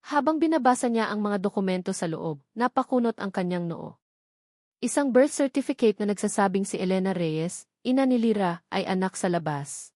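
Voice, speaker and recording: A Filipino woman delivers scripted dramatic story narration. Her voice sits at a middling pitch for a woman, and the recording is dry, with very little room around it. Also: expressive, moderate pace, darkish tone, no echo.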